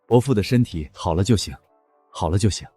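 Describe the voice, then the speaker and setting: An actor playing an earnest, kind-hearted young village healer, scripted and sincere, tripping over words when flustered. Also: male voice, a little high, very animated, quick pace, dark tone, dry recording, soft background music. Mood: humble, earnest. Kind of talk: scripted drama acting.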